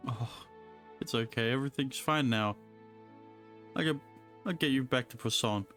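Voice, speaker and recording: A woman's voice: a bass voice, moderate pace, a bit bright, soft background music. A woman giving casual live commentary as she streams a game.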